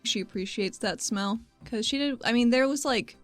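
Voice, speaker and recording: A woman's voice: a little high, normal speed. Casting: female roleplaying gamer, loose conversational delivery, wry, light music bed underneath. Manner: informal, wry, swears casually. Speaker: player in a tabletop game. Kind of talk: casual roleplay chat.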